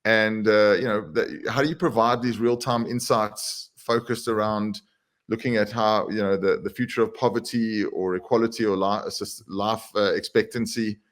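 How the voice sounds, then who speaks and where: A man's voice: fairly low pitch, steady tone, normal speed. A male podcast host introducing a guest and asking questions. He talks casually and loosely, padding his sentences with fillers as he goes. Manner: conversational, frequent "uh" and "you know" fillers. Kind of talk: interview podcast.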